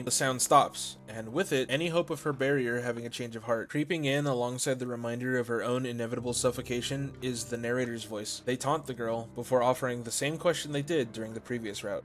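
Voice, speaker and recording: A man narrating a scripted story recap as a video essayist, articulate, with dramatic storytelling phrasing. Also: mid-range voice. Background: soft music.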